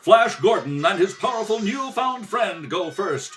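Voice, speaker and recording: Animated man narrating an adventure serial. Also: high-pitched, a little slow, far from the mic, faint music behind. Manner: theatrical. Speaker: narrator, rehearsal reader. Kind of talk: dramatic old-time radio serial narration. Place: kitchen table at home. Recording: home recording, slightly off the mic.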